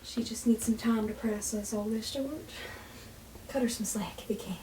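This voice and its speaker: A woman's voice: on the high side, fairly even intonation, moderate pace, mellow, close to an everyday voice. A woman playing an earnest member of a struggling 1940s family in a radio play. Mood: gentle, subdued. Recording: echoey room, distant mic, faint hiss.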